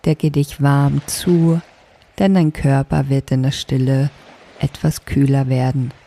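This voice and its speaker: A woman leading a guided sleep meditation as a yoga nidra teacher, speaking softly in a calming, deliberate way. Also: a bass voice. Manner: soft, calming, deliberate instruction.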